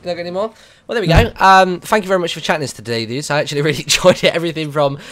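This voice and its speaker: A man's voice: on the high side, very fast, fairly bright tone. A British man hosting a casual radio interview, chatty and informal, rambling a little as he sets up questions.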